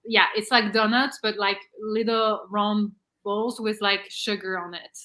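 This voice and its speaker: A woman's voice: mid-range voice, fairly even intonation, measured pace, sharp tone. A woman chatting casually as a livestream co-host, explaining things in an informal, slightly hesitant way. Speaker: live stream co-host. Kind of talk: casual chat. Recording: clearly audible noise, clicks.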